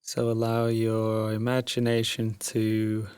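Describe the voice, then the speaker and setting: Male guide for sleep meditation: drowsy, breathy, very unhurried delivery, dry close sound. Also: fairly low pitch, completely flat delivery, no room sound. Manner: soft, drowsy, soothing, with long pauses.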